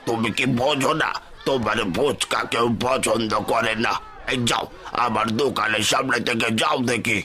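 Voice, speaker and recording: A man's voice: mid-range voice, fairly even intonation, normal speed, pushed and bright, a faint crowd, natural voice. Man playing a piercing, scornful village bully. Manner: mocking, hectoring. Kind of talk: cartoon dubbing.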